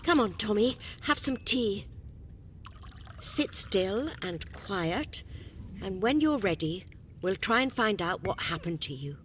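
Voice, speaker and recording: Slow, patient, soothing young woman. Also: animated. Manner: gentle, soothing, measured. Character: calm, patient, caring young woman companion. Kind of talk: audio drama acting. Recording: long reverb, faint rumbling noise.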